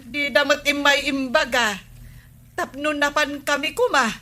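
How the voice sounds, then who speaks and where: Bright, forceful Ilocano village woman. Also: high-pitched, steady tone, measured pace. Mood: indignant. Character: indignant, questioning village woman with a grievance. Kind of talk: Ilocano radio comedy drama acting.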